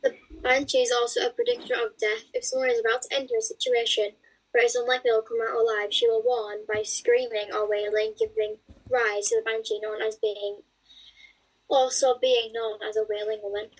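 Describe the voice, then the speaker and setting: A young woman co-hosting a podcast, reading passages aloud in a halting way with casual chat between. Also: fairly high pitch, husky, rough recording. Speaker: podcast co-host.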